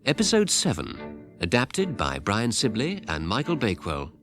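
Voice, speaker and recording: Male British announcer for radio drama: low, very animated, scripted, music underneath. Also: moderate pace. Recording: 1980s radio broadcast over music.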